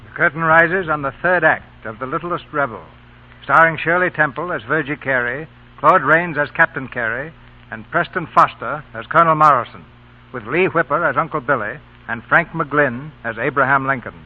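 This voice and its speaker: A man's voice: on the high side, normal speed. A man giving scripted narration as host of an old-time radio drama. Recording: tinny old radio recording with music.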